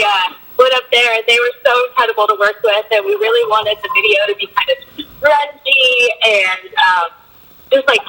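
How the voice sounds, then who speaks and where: A young woman in a band, a bit hoarse from a cold, answering interview questions in a loose way with lots of fillers. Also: high-pitched, bright, forceful tone, poor audio. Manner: loose, filler-heavy talk with frequent likes and ums. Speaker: band member.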